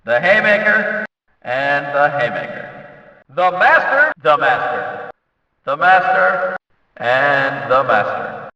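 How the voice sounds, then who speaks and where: An excitable demolition derby announcer, high-pitched and forceful, for a racing video game. He draws out punchy, emphatic calls at a very slow pace. The sound rings with long arena-like hall reverb. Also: dull audio, heavily acted. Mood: excited, hyped. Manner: emphatic, punchy name calls swinging from flat to shouted. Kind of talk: arena-style race announcer name calls.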